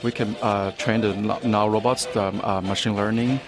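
A man's voice: lowish voice, steady tone, normal speed, over music. A man explaining and demonstrating in an interview, speaking non-native English. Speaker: robot demonstrator.

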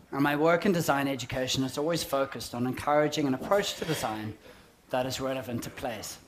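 A man in his forties with a South African accent, giving a prepared, measured public talk on design. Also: mid-range voice.

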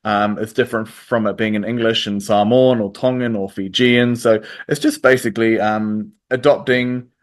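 A man, answering interview questions in a hesitant way with many pauses and restarts. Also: lowish voice, steady tone. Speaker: interview guest. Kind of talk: radio interview.

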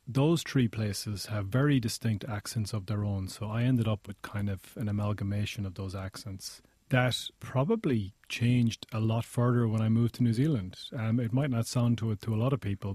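An Irish man being interviewed in a studio, explaining things in a measured way. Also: lowish voice, steady tone, a little mellow, slightly rough, no room sound. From Ireland. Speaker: interview guest, journalist. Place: radio studio.

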